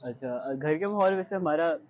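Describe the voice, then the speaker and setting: Young pakistani guy giving interview answers, kinda high voice, earnest, drops in english phrases, muffled audio. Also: expressive, normal speed, a little mellow, a little hiss or hum. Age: young adult. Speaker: interview guest, high-achieving student.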